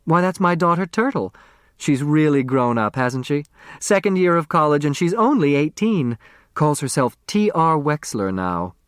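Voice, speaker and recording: Storybook mother played by a man, proud and chatty, pitched up, dry recording. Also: very animated, moderate pace, fairly bright tone, clear, resonant tone, dry recording. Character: beaming proud mother, sociable restaurant owner.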